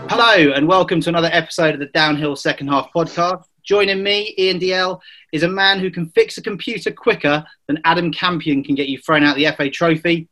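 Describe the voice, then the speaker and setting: A man with a Welsh accent hosts a podcast in a relaxed, joking way, his voice pitched a little high. He stumbles now and then over his questions but keeps the banter going. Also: a little fast, soft background music. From Wales. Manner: jokey, hesitant with fillers. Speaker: podcast host. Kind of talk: casual podcast chat.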